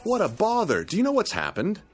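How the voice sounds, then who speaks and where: A fussy, pompous freeloader in a radio play. His pitch swoops wildly through elaborately polite, formal phrases, with light music behind him. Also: huge pitch swings, a little fast. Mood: flustered, smooth-talking. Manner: overly polite, formal, theatrical. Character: fussy, pompous, penny-pinching male freeloader. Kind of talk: radio drama acting.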